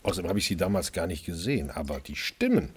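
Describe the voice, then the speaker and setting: An actor playing a wry, stubborn chef who narrates his own radio crime drama in a husky voice. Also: sits low, big pitch swings, fast talker, slight background noise. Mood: animated recounting. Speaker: lead actor and first-person narrator.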